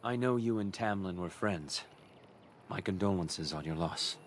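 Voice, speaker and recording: A low-voiced male elven merchant talks in a subdued, sombre and matter-of-fact way in a fantasy game. Faint outdoor noise sits behind the quiet voice. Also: a little slow.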